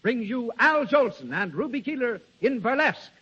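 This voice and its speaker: A man's voice: very high voice, very animated, moderate pace, lo-fi sound, very noisy. A man announcing formally in 1930s radio style on a vintage broadcast.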